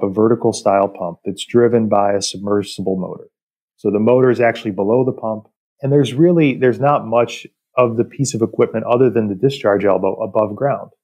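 A man speaking as an expert podcast guest, explaining industrial work in a measured, technical way. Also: fairly low pitch, darkish tone. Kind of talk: explanatory interview talk about industry.

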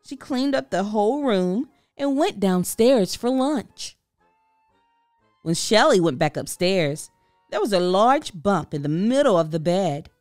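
A woman reading a children's book aloud with expressive, deliberate storytelling. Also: medium pitch, no echo, clean studio sound, soft background music. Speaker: storybook reader. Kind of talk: children's read-aloud narration.

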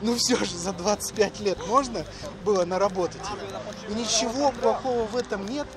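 A man's voice: sits high, moderate pace. A middle-aged man talking casually as the host of a walking vlog, recorded outdoors on city streets. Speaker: education agency host. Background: music, street ambience.

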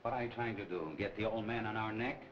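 An actor playing a hard, middle-aged nightclub racketeer, curt and slangy, in 1940s crime film style. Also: male voice, fairly low pitch, quick pace, lightly acted. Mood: menacing. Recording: dull 1940 film soundtrack, music behind.

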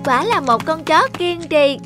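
A woman playing a kind-hearted young female dog owner in an animated moral tale, speaking earnestly. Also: sits high, measured pace, over music. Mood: amazed, tender.

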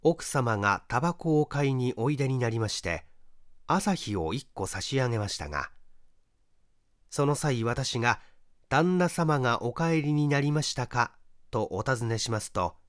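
A man's voice: medium pitch, animated, normal speed, no room sound, clean studio sound, natural voice. A man voicing an old landlord, a humble and very polite shopkeeper witness in an audiobook mystery. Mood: polite, earnest.

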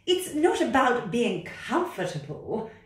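A woman's voice: on the high side, sing-song, takes it slowly, voice pushed up. A woman voicing a bossy, impatient know-it-all storybook cat, making emphatic singsong rhyming pronouncements. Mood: insistent. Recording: roomy, distant microphone.

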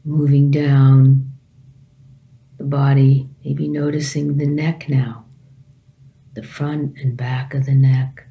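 A woman meditation teacher leading a guided meditation calmly and gently, in short phrases with long pauses. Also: extremely low pitch, muffled recording.

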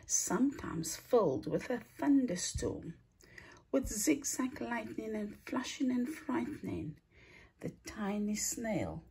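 A woman reading a rhyming children's picture book aloud in a theatrical, deliberate way, stretching words and hushing the ends of lines. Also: fairly high pitch, big pitch swings, rough recording. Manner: theatrical, deliberate storytelling with drawn-out words and breathy hushed line endings. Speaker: storybook reader, teacher.

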